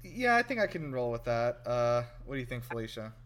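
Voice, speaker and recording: A man's voice: medium pitch, very animated, fairly quick, a bit bright, a little hiss or hum. A man hosting a casual film reaction chat with wry, teasing banter. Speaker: podcast host.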